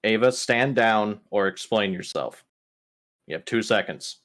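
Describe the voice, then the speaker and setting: A man's voice: on the low side, measured pace, fairly bright tone. A man playing a stern ship's captain who fires clipped, demanding questions in a tabletop game. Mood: stern, accusing. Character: stern ship's captain interrogating his crew at gunpoint.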